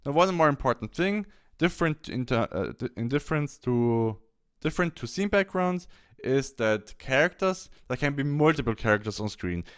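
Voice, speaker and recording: A man gives an instructional software walkthrough in a smooth, clean voice. His medium-pitched delivery rises and falls in a lively way as he explains each step, sometimes haltingly. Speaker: software tutorial presenter. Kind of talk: instructional screen-capture walkthrough.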